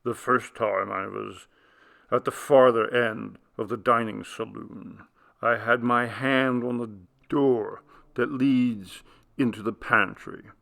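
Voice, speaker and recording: A man voicing a frightened, anguished man in a ghost story audiobook, speaking slowly and haltingly. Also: fairly even intonation, vocal fry, fairly bright tone. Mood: haunted dread. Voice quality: breaking, low, trembling voice. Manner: halting, slow, haunted. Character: anguished, frightened man confessing a dreadful secret.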